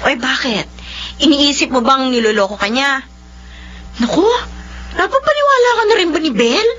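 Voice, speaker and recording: An outspoken loyal best friend. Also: female voice, high voice, normal speed. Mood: pressing. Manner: indignant, lively. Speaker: Joy, the heroine's friend. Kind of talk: radio drama acting. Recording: muffled lo-fi audio, faint music.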